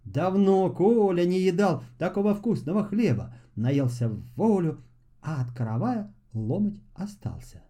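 Expressive high-pitched man telling fairy tales. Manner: expressive, unhurried folk storytelling. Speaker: storyteller. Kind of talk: scripted fairy-tale narration for children.

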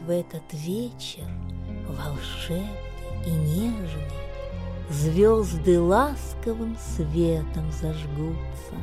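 An actress playing a gentle storyteller of a magical winter night in a radio play, reciting verse slowly over music. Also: female voice, medium pitch.

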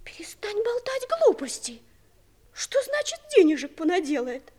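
A woman's voice: very high-pitched, very animated, moderate pace, mellow. An actress playing a prim, bossy older sister, a young girl who scolds like a know-it-all, in a 1960s radio play. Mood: bossy, annoyed.

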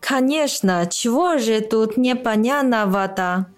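A woman's voice: on the high side, takes it slowly, soft background music. A woman acting a blunt, practical household servant with a folksy manner in a radio play. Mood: matter-of-fact.

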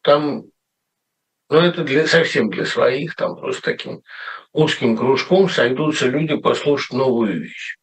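A man's voice: mid-range voice, measured pace, breathy edge. A middle-aged male writer talking in a casual, rambling monologue, often correcting himself with wry asides. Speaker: writer commenting on a radio show.

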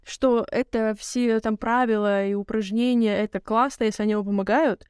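Young female podcast co-host, quick and filler-heavy, bright-pitched, recorded dry. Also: steady tone, clean tone, dry recording. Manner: rapid, filler-heavy slangy talk. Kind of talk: casual chat.

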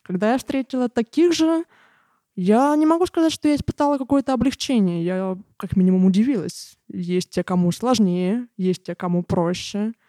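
Warm-voiced woman in her twenties. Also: medium pitch, moderate pace, no echo, clean studio sound. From Russia. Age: 20s. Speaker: guest. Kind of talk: casual conversation.

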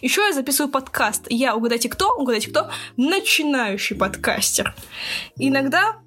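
A woman's voice: high-pitched, sing-song, normal speed, fairly bright tone, slightly rough. A twenty-year-old woman hosting a casual podcast chat in a playful, teasing manner. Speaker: podcast host.

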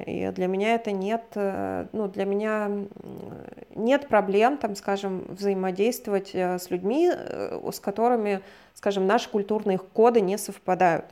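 A woman from Russia, a guest expert, explaining ideas in a measured, lecturing way and often hedging her words as she talks. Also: mid-range voice, warm tone. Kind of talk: explanatory conversation.

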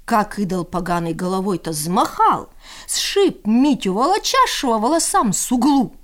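A woman's voice: mid-range voice, sing-song, moderate pace. A woman storyteller narrating a folk tale expressively, with folksy, theatrical phrasing.

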